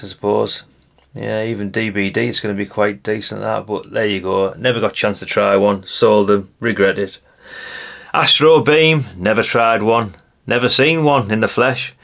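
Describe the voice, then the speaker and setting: A British man with a fairly low voice and a clear gravelly creak. He talks as a hobbyist host in a casual, rambling way. His phrasing is colloquial and chatty, with little questions tacked on the end of remarks. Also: fairly even intonation, moderate pace, fairly bright tone. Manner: chatty and colloquial, often adding tag questions. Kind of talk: casual rambling commentary while leafing through a brochure.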